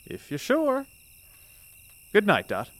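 A man's voice: high-pitched, huge pitch swings, takes it slowly, very noisy, full character voice. An actor playing a kindly, worried small-town man who speaks hesitantly, in naturalistic audio drama style. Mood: friendly, concerned.

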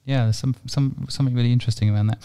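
Fast-talking, smooth-voiced male host. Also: mid-range voice, fairly even intonation, mellow. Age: 40s. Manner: quick, curious questioner. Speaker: podcast host. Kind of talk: conversational interview.

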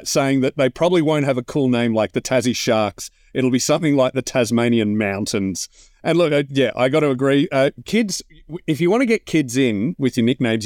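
A man's voice: medium pitch, darkish tone, dry recording, clean studio sound. A middle-aged Australian man chatting casually and wryly about sport as a co-host, with a joking manner. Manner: wry, jokey.

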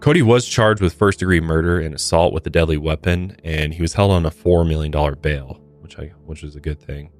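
A man's voice: extremely low pitch, a little fast, hardly any pauses, soft background music. A man hosting a podcast, narrating a true crime case in a partly scripted style. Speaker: podcast host.

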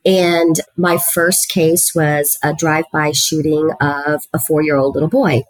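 A woman's voice: deep voice, little pitch movement, measured pace, dry recording. A middle-aged woman from South Texas, a guest on an interview podcast, telling her career story in an earnest, talkative way with frequent fillers. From South Texas, USA. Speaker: guest, student housing executive. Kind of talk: conversational storytelling.

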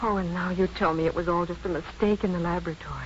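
An actress playing a distraught, vulnerable young woman patient in a 1950s radio drama, speaking in an emotional, halting way. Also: lowish voice, fairly even intonation, close to an everyday voice. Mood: tearful, tender. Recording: muffled, faintly noisy 1950s radio broadcast.

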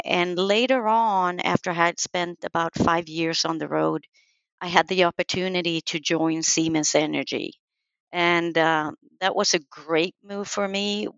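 A woman's voice: lowish voice, a bit bright, dry recording. A woman in her forties, answering interview questions thoughtfully with many fillers over a dull lo-fi line. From Sweden. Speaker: guest, program manager.

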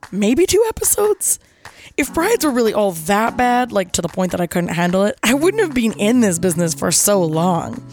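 A middle-aged woman with a raspy voice hosting a podcast, telling stories in a playful, dramatic, salty-tongued way. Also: mid-range voice. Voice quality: raspy from overuse. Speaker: podcast host. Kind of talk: animated storytelling to listeners.

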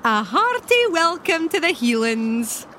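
A high, bright and boisterous ancient Scottish she-monster of the loch, brash and insulting in thick Scots slang. She swings widely in pitch in comic audio-drama fashion, with water sounds behind her. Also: sing-song, slow, a little hiss or hum, heavily acted. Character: ancient, boisterous, foul-mouthed, hard-drinking female Loch Ness monster. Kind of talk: audio fiction comedy acting. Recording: water and boat ambience behind.

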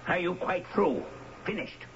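A stern, commanding judge. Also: male voice, high voice, expressive, a little slow, vocal fry, heavily acted. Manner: formal, imperious. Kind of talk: old-time radio drama acting. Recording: dull, faintly noisy radio drama recording.

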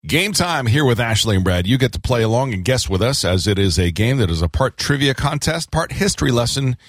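A man's voice: mid-range voice, a little fast, no echo. A man co-hosting a radio show, running a trivia game and chatting casually with his co-host. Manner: lively, easygoing, chatty.

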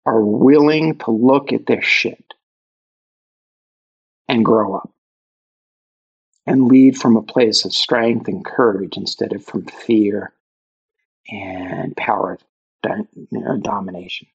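A man from Brooklyn with a Brooklyn accent, talking candidly and reflectively as a guest author in an interview, pausing for emphasis. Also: lowish voice, creaky, breathy edge, muffled recording. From Brooklyn, New York.

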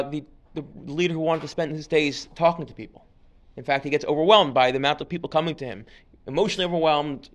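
A man's voice: fairly high pitch, a bit bright. A man giving an informal history lecture, chatty and digressive, often restarting sentences and dropping joking asides.

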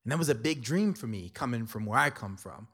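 A man's voice: medium pitch, expressive, brisk, a bit bright. A middle-aged man from New York telling a personal story to an audience, conversational and emphatic, with playful asides. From New York City.

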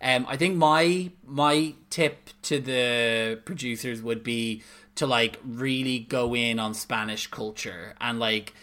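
A man's voice: mid-range voice, steady tone, takes it slowly, bright, forceful tone. A man co-hosting a podcast in casual chat, talking emphatically with many fillers. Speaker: podcast co-host.

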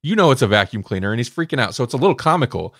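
An animated man talks casually as a podcast co-host. His pitch swings widely and he sounds amused. The sound is dry and close. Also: very fast, husky, no echo. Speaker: podcast co-host reviewing audio dramas. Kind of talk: casual chat.

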